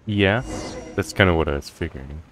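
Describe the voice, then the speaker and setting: A man's voice: deep voice, fairly quick, a bit bright, a little hiss or hum. A man giving casual let's-play commentary as a streamer, offhand and rambling to himself. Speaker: gameplay streamer.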